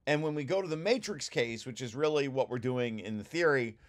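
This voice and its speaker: Halting man explaining technical material. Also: mid-range voice, expressive. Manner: halting, self-correcting, explanatory. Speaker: presenter reading through own technical paper. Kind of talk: informal technical walkthrough.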